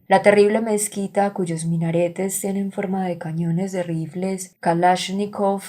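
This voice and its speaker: A woman reading a literary essay aloud in a scripted, measured way, with careful phrasing. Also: medium pitch, steady tone, dark tone. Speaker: reader of literary essays for a book channel.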